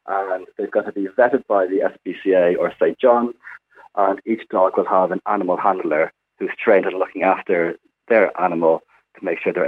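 Deep-voiced Irish man on a phone line. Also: husky. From Ireland. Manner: chatty, explanatory, many fillers. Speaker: hospital physiotherapist, guest. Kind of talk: radio phone interview.

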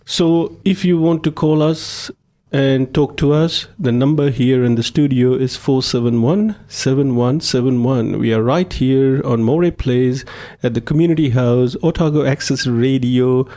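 A man presents a live community radio show from a studio. His voice is mellow and relaxed in tone, at a middling pitch. He talks effusively, repeating words for emphasis as he brings in guests. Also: unhurried. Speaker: radio host.

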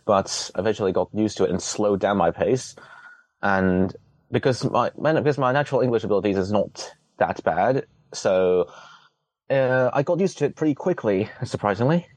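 Deep-voiced young man, hesitant. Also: normal speed, darkish tone, no room sound. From Hong Kong. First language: Cantonese. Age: young adult. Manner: hesitant, many restarts and fillers, wry humor. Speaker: interviewee, student. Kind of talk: casual interview answers.

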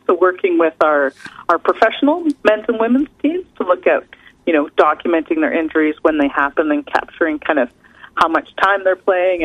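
A woman's voice: fairly low pitch, dry recording. A woman in her thirties with a Canadian accent, a research scientist chatting as a guest, filling her talk with "kind of" and "um". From Canada, British Columbia. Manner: chatty, many fillers like kind of and um. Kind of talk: casual radio interview. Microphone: phone call. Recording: tinny phone line, dry.

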